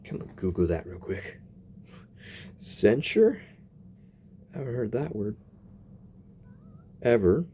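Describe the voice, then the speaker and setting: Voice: mid-range voice, big pitch swings, slow pace, pauses often, warm tone, a little hiss or hum. A gamer giving casual, playful and slangy reaction commentary over a game's story. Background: game music.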